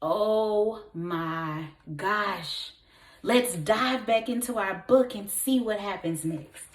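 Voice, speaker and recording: A woman teacher reading a story aloud to young children, expressive and encouraging, putting questions to her listeners. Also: mid-range voice, slow pace. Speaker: kindergarten teacher. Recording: echoey room.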